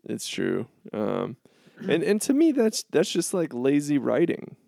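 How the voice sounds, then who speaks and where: Young male podcast co-host, gravelly voice, dry close mic, halting casual delivery. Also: fairly high pitch, wildly swooping pitch, dark tone, dry recording. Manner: halting, restarts often, laughs easily. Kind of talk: casual chat.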